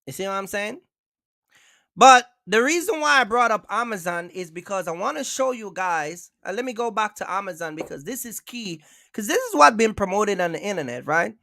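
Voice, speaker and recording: A man's voice: high voice, lively intonation, moderate pace, vocal fry, sharp tone, no echo, clean studio sound. A man hosting a trucking livestream, talking casually and emphatically, repeating himself and asking viewers for likes. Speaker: trucking channel host.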